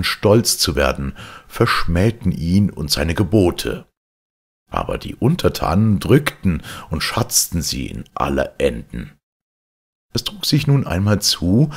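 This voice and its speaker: Slow gravelly deep-voiced male storyteller. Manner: slow, deliberate, solemn delivery. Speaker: reader of legends. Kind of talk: scripted storytelling reading.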